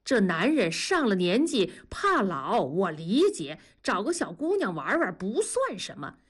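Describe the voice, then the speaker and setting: A coarse, blunt middle-aged man scolds and teases at full volume, voiced by a woman. His pitch jumps around restlessly. He talks fast and pushy, a rough audiobook character. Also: big pitch swings. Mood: incredulous.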